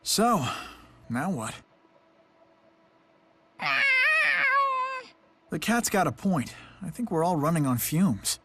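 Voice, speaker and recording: A man's voice: fairly high pitch, big pitch swings, a little slow, a little hiss or hum. An actor playing a polite, thoughtful, easily fascinated young man in a video game. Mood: weary.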